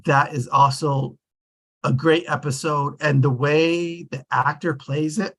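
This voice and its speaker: A man hosting a table read group, talking casually in an animated, rambling and informal way. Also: a little high, slow.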